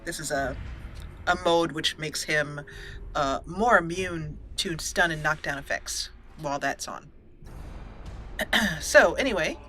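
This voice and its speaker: A woman's voice: mid-range voice, pushed and bright, slight background noise. A woman giving casual, chatty let's play commentary as a gaming host, playfully exclaiming and talking to the characters on screen.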